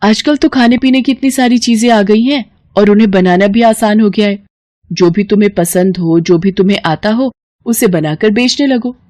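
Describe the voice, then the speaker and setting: Woman acting brisk, high-voiced village neighbour woman. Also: mellow, dry recording, studio quality, close to an everyday voice. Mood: advising.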